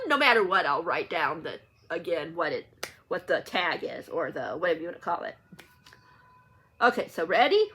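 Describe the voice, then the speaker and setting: A woman's voice: medium pitch, sharp tone. A chatty woman book vlogger talking casually and unscripted to viewers, rambling and correcting herself as she goes. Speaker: book vlogger hosting a read-along.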